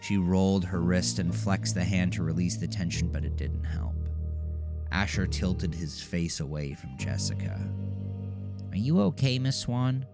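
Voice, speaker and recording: A man narrating a scripted horror story in a measured, dramatic manner. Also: deep voice, fairly even intonation, music in the background.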